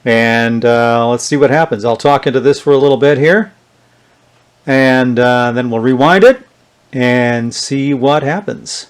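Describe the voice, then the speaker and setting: A man's voice: medium pitch, measured pace, clean tone. A man giving a casual, unscripted hands-on demonstration, thinking aloud as he tests things. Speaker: vintage electronics reviewer.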